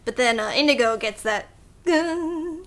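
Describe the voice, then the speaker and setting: A woman's voice: on the high side, lively intonation, unhurried. A woman hosting a casual movie recap podcast, chatting in a jokey, giggly way. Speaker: podcast host.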